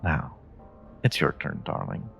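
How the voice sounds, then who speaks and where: A man's voice: a bit bright, soft background music, close to an everyday voice. A man playing a suave, flirty stranger in a romantic audio roleplay, teasing and coy as he speaks directly to the listener. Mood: sincere, soft. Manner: teasing, coy, unhurried, murmuring endearments. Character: suave flirty male stranger, playful and confidently charming. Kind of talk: romantic audio roleplay, one-sided dialogue addressed to the listener.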